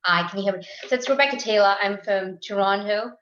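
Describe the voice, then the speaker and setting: A woman's voice: medium pitch, quick pace, fairly bright tone, roomy sound, dull audio. A woman from New York who owns a business, introducing herself in a friendly, chatty way on a video call. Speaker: business owner.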